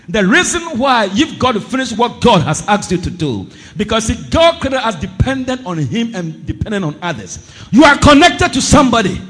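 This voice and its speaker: A man preaching a sermon as pastor, impassioned, swinging between shouted declarations and low asides. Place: church service.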